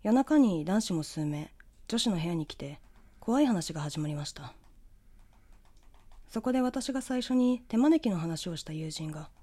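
A woman reading a scripted horror story in the first person, with measured storytelling delivery. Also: on the low side, expressive, mellow, breathy edge. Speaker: ghost story narrator.

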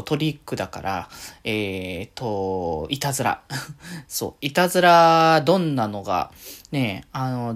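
Female talk host, very deep register, animated melody, giggly, informal and rambling delivery. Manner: often giggles while talking. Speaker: solo talk show host. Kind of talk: casual rambling solo chat to listeners.

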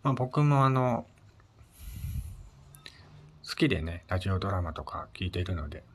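Guy with a low voice doing a chatty solo podcast, polite, pauses w little fillers. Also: big pitch swings, slight background noise. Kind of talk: casual solo radio chat.

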